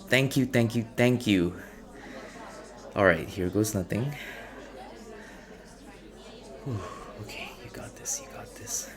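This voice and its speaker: A male actor plays a shy, gentle young man in an ASMR coffee shop roleplay. His voice is low and crackles with vocal fry. He talks slowly and hesitantly, rambling earnestly and second-guessing himself like someone anxious around strangers. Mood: delighted, grateful, then shy, tentative. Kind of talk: ASMR boyfriend audio roleplay, intimate naturalistic acting.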